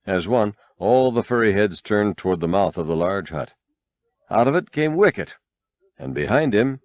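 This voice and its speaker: Expressive male audiobook narrator, very lively pitch. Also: no room sound. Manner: expressive, measured storytelling. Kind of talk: scripted dramatic narration of a novel.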